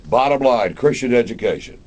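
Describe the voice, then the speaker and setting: A man hosting a live radio interview in a folksy, halting way, often repeating words. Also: medium pitch, lively intonation, lo-fi sound. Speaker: radio show host.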